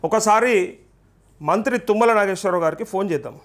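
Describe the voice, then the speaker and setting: A man with a high-pitched voice and a Telangana Telugu accent delivers live political commentary. He talks very quickly and insistently, circling back to his points with pointed rhetorical questions, as a TV journalist would. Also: a bit bright. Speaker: journalist and TV host.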